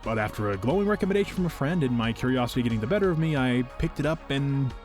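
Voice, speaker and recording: Animated, playful male reviewer over music. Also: medium pitch, quick pace. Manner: playful, whimsical, expressive delivery. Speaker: toy reviewer and video host. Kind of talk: enthusiastic scripted review narration. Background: background music.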